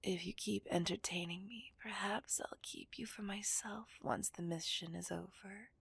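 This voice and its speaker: A woman's voice: mid-range voice, fairly even intonation, moderate pace, gravelly, fairly bright tone, slightly rough, dry recording, heavily acted. A woman playing a dominant, taunting female villain in an ASMR roleplay, whispering breathily and possessively to a hostage. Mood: possessive, gloating.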